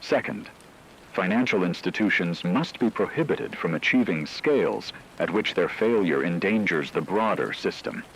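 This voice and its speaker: A man playing a grave radio news broadcaster, reading a scripted bulletin in a solemn, measured and formal way. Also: deep voice, expressive, vocal fry, fairly bright tone, slight background noise, full character voice, low-quality recording. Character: grave male radio broadcaster reporting societal collapse.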